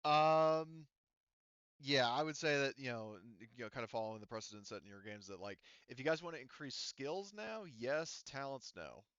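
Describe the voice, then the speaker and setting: A man's voice: medium pitch, animated, normal speed, a bit bright, smooth, no room sound, lo-fi sound. A man chatting casually as game master of a tabletop roleplaying game, teasing and swearing freely.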